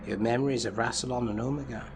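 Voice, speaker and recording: Male actor as a clinical machine therapist for audio drama; medium pitch, patient, formal, insistently probing, music playing clearly underneath. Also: steady tone, racing, close to an everyday voice. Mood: inquisitive. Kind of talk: scripted audio drama dialogue.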